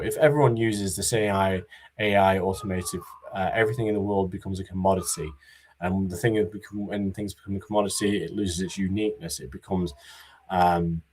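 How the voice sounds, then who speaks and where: A man giving a conference talk about business readiness, in a monotone voice with many fillers and restarts. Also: low-pitched. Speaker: conference speaker.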